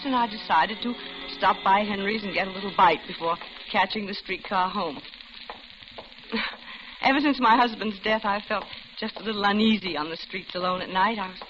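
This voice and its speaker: An American actress playing a lonely middle-aged widow, narrating confidingly and genteelly in an old-time radio suspense drama. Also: female voice, mid-range voice, background noise, natural voice. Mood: uneasy, reflective. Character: lonely older widowed office worker recounting her doom. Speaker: narrator and lead character. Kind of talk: 1940s-50s American radio suspense acting. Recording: dull old radio recording, loud music behind.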